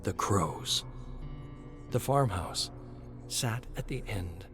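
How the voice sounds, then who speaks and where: A man reading scripted story narration in a measured, deliberate way. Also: lowish voice, lively intonation, music in the background.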